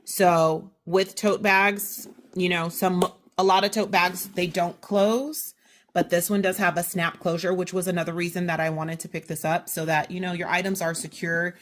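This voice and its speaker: A woman with a slightly low voice talks through her purchases in a chatty, detailed way. She has a slight cold and sniffles now and then, and she often stops to correct herself. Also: fairly bright tone, a little hiss or hum. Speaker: haul vlogger. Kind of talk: casual product haul walkthrough.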